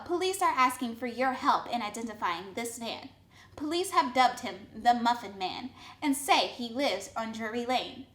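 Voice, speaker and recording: A woman plays a TV news anchor in a comedy sketch. The voice is high, the delivery formal and scripted, and the room rings with echo. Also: a bit bright. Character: Jill, female fairy tale TV news anchor. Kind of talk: mock TV newscast, scripted. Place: indoor studio set. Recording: echoey room.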